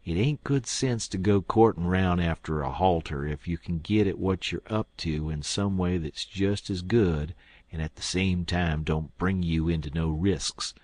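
Man as a husky, calculating outlaw boss. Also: sits low, darkish tone, dry recording. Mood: calmly scheming. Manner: deliberate, persuasive rustic talk. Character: cool-headed scheming male gang leader Packard, smugly reasonable. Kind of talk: audiobook character acting.

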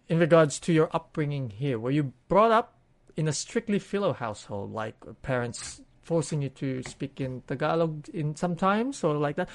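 A woman hosting a relaxed interview, asking questions informally and feeling her way into each one. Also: low voice. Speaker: interviewer, host. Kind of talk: casual conversational interviewing.

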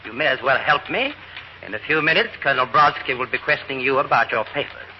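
An actor playing a stern military major pressing a suspect for answers in an old radio drama. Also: male voice, mid-range voice, fast talker, lightly acted. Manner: firm and pressing. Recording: muffled old radio broadcast with faint noise.

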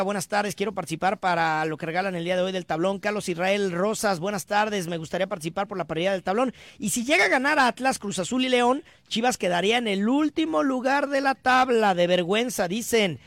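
A Mexican man hosting a sports radio show, reading listener messages in brisk, chatty, teasing banter. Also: sits high, a bit bright, no echo. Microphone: studio microphone.

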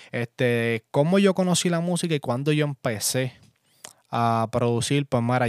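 A man's voice: medium pitch, dry recording. Chatty Puerto Rican man, dry studio sound. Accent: Puerto Rican Spanish. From Puerto Rico. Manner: relaxed, chatty, frequent fillers and asides. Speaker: podcast host and music producer. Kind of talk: casual solo podcast monologue. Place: home studio.

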